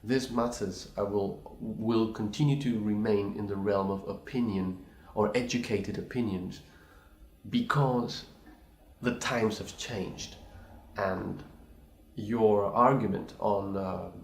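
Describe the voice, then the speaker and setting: A man's voice: lowish voice, steady tone, slow pace. A man, a pianist speaking English as a second language, giving a thoughtful, hesitant reply full of restarts and apologies. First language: not English. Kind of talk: recorded reply to a video challenge. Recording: echoey room.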